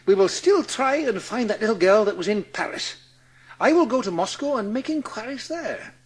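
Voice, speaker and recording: Casting: male actor for reassuring middle-aged gentleman advisor, high register, lively inflection, earnest and reasonable, audiobook drama. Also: moderate pace, breathy edge. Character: sensible, reassuring gentleman advisor and family man.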